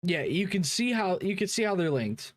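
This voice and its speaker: A man's voice: sits high, very animated, moderate pace. A man giving casual live commentary as a streamer, informal and emphatically repeating himself. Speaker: streamer reacting to a game video.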